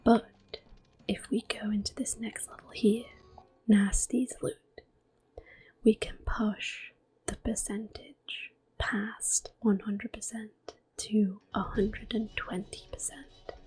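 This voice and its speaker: Softly whispering woman, slow and gentle. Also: mid-range voice, little pitch movement, rough recording. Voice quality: soft, breathy, mostly whispered. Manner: gentle, unhurried. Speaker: game streamer. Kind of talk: ASMR gameplay commentary.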